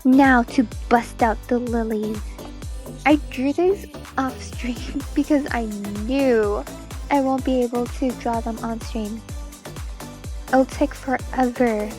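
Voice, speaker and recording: Woman, mostly American accent, high voice, slow pace, casual stream chat, playful. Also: fairly even intonation, a little mellow, faint music behind. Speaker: streamer, digital artist. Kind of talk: casual livestream chat while drawing.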